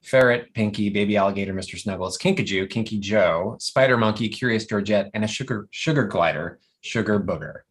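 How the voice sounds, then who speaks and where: A man's voice: fairly low pitch, fairly quick, hardly any pauses. A man reading scripted stage directions as narrator at a comedy table read.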